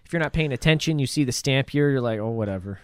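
Man, podcast co-host, mid-pitched, casual and sweary storyteller, very dry close recording. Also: expressive, fast talker, smooth, dry recording. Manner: informal, profane. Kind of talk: casual chat, paraphrasing a news article.

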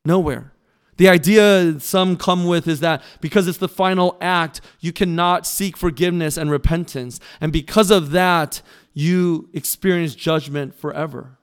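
Male pastor, church sermon, earnest and pleading, crisp resonant voice pitched a bit high. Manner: earnest, pleading, with emphatic pauses. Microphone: mic that briefly cut out.

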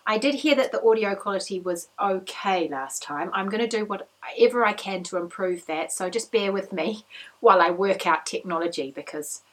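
A woman in her thirties with a slightly croaky voice, hosting a podcast in a chatty, upbeat, rambling way. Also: mid-range voice, normal speed, a bit bright, low-quality recording. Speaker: podcast host. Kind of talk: casual chat.

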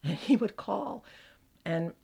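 A middle-aged American woman with a gravelly voice, chatting as a podcast host and telling animated stories. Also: on the low side, slow. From United States. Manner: chatty, animated storyteller, laughs often. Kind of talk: casual chat.

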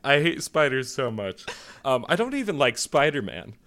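A man's voice: mid-range voice, very animated, moderate pace, a bit bright. A man co-hosting a casual comedy podcast with his brother, telling rambling jokey stories. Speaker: podcast co-host.

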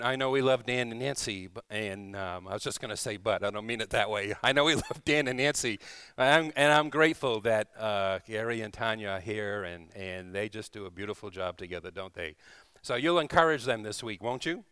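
A man's voice: lowish voice, moderate pace, sharp tone, smooth, dry recording. A man preaching informally to a congregation in church, folksy and conversational, often checking in with little tag questions. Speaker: preacher, visiting church leader. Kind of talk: informal sermon to a congregation, with scripture reading and prayer. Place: speaking live in a church.

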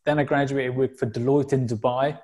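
A man's voice: mid-range voice, steady tone, a little fast, darkish tone. A man in his thirties from South Wales with a Welsh accent, a guest talking openly and reflectively in a casual interview, with frequent fillers and restarts. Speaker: podcast guest.

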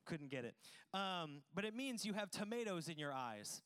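High, smooth-voiced man preaching casually. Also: big pitch swings, moderate pace, a bit bright. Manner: casual, joking, self-deprecating. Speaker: pastor. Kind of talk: conversational sermon. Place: church service with congregation.

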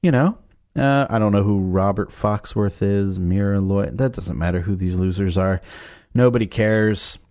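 A man hosting a casual movie watch-along, his voice scratchy and raspy from a cough, making sarcastic jokes as he watches. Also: fairly low pitch, moderate pace, darkish tone. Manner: joking, sarcastic. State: has a cough. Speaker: host reviewing a movie.

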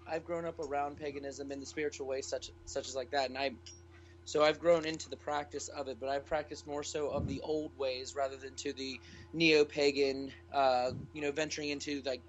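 A man's voice: fairly high pitch, flat delivery, brisk, a little hiss or hum. Man, from Wales, podcast guest, rambling, muffled lo-fi audio. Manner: rambling, with restarts and fillers. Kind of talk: casual discussion.

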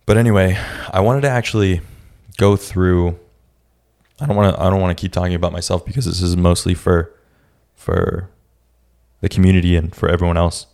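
Low gravelly young man, halting. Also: fairly even intonation, darkish tone. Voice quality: raspy. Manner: reflective, halting, many restarts. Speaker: podcast host. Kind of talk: casual solo podcast talk.

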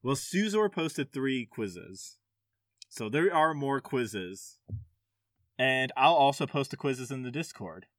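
A man hosting a casual gaming podcast quiz, reading questions and answers aloud in a somewhat halting way. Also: mid-range voice, big pitch swings, no echo, clean studio sound.

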